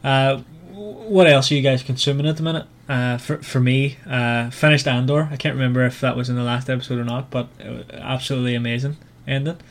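A man hosting a casual book club chat in a hesitant, halting way, often restarting his sentences. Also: mid-range voice, steady tone. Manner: hesitant, halting delivery with repeated false starts. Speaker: podcast host.

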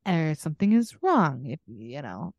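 A young woman co-hosting a podcast, chatting casually and unscripted with a friend as she rambles and thinks out loud. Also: deep voice, very animated, measured pace, no room sound. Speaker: podcast co-host.

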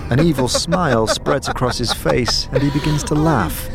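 Man narrating dark fantasy over loud music. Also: mid-range voice, normal speed. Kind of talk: scripted dramatic fantasy narration.

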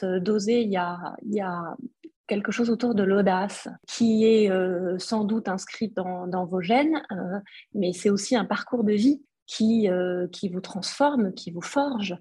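A woman's voice: mid-range voice, measured pace, warm tone, slightly rough, no echo. A woman from Algeria whose first language is Arabic, a brand founder telling her story in an interview, reflective and hesitant, with many fillers. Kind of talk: podcast interview.

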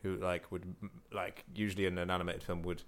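Deep-voiced joking man. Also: flat delivery, quick pace. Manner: rambling, joking. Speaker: guest director.

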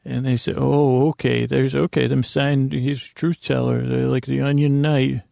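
Creaky man rambling softly through a bedtime monologue. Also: medium pitch, level tone, no echo, studio quality. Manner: meandering, halting, stammering, self-interrupting; gently humorous. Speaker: podcast host.

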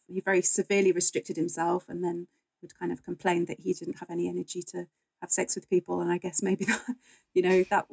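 A woman's voice: on the low side, creaky, a little mellow, breathy edge, no echo, poor audio. A woman speaking as a guest historian in a conversational interview, explaining things in a chatty, informal way.

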